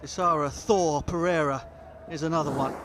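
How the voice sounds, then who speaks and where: A man's voice: a little high, fairly even intonation, moderate pace. A man commentating live on a cricket match, calling the play in exclamations. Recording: loud music and crowd behind. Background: music and crowd noise.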